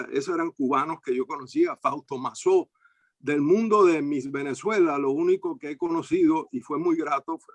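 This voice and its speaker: Older Cuban man, panel guest, witty storyteller, slightly high voice, dry close sound. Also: no room sound. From Cuba. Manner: witty, anecdotal, fond of wordplay. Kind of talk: panel discussion.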